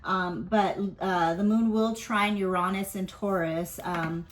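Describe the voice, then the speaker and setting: A woman's voice: medium pitch, steady tone, takes it slowly, a little hiss or hum. A woman giving a casual weekly tarot and astrology reading. She speaks candidly, rambles, leans on fillers and swears offhandedly.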